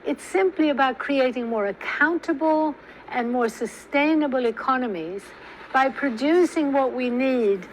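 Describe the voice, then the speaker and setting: A woman giving steady, clear, scripted documentary narration. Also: sits high, a little slow, rough recording. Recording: film soundtrack with background noise.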